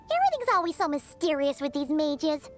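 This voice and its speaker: Tiny chirpy game fairy, super high squeaky voice, bouncing all over the place. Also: female voice, very animated, a little fast, faint music behind. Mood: chatty. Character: small floating fairy companion, chirpy and excitable.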